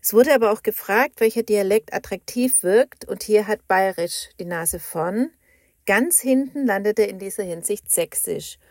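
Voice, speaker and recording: A woman's voice: medium pitch, unhurried, no echo, clean studio sound. A female reporter giving an informative, lightly conversational news report.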